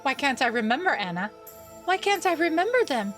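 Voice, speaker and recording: A woman's voice: high-pitched, big pitch swings, a little fast, fairly bright tone, soft background music, voice pushed up. A woman voicing an optimistic, careless young female roommate in an emotive way for a visual novel. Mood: distressed. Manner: emotive, scatterbrained.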